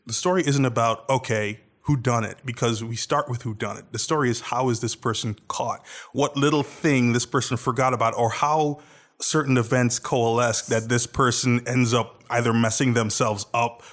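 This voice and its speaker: A man's voice: mid-range voice, fairly even intonation, normal speed, a bit bright. A man co-hosts a casual podcast chat, talking with eager enthusiasm. His voice sits in an ordinary mid range. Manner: enthusiastic, chatty. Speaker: podcast co-host.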